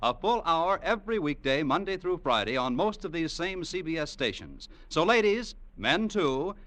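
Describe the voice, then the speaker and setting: A man's voice: a little high, very animated, moderate pace, a bit bright. A man with an American accent announcing in 1940s radio style, with a polished, punchy salesman's delivery.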